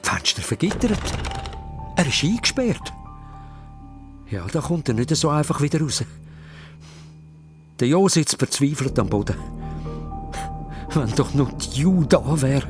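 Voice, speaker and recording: Animated Swiss male storyteller over music. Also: a little high, measured pace, long pauses. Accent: Swiss German. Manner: suspenseful, short punchy phrases. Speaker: narrator. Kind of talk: dramatic scripted children's story narration.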